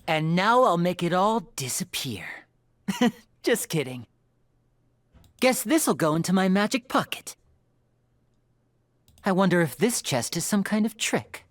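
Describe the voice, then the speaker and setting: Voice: medium pitch, big pitch swings, a little slow, long pauses, no room sound. A performer playing a teasing young male magician in a video game, speaking like a showman. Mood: playful, showy. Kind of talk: scripted game character voice acting.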